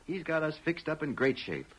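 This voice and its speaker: An actor playing a folksy frontier husband with an American accent and rustic drawl in an old-time radio western. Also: male voice, on the high side, big pitch swings, normal speed, husky. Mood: gleeful, triumphant. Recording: vintage American radio drama recording.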